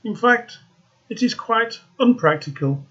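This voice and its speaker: A man's voice: very high-pitched, measured pace, highs cut off, voice pushed up, exaggerated voice. A man voicing a young male student, impulsive and petulant, in a read-aloud fairy tale. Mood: scornful. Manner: excited, then sulky and lecturing.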